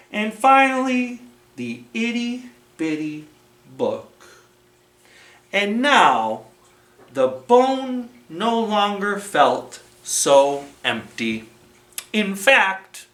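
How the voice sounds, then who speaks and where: A man reading a storybook aloud to children as a storytime host, expressive, with dramatic pauses and emphasis. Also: a little high. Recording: roomy, echoing room sound.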